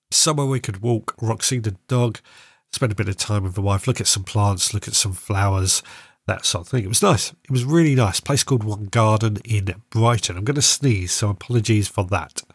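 Congested man, low and dry. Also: no echo. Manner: relaxed and chatty, with frequent fillers. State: congested from a cold. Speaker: podcast host. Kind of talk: solo casual update.

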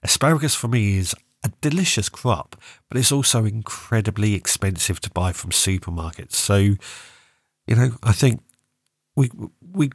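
Congested male podcast host, low voice, casual rambling gardening chat, dry close mic. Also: no room sound. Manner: rambling, self-correcting, chatty. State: congested, sore throat and cough.

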